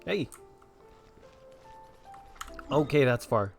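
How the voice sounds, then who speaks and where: Slow-talking man playing a game. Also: medium pitch, lively intonation, vocal fry, faint music behind. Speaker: game streamer. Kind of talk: casual offhand commentary while playing a game.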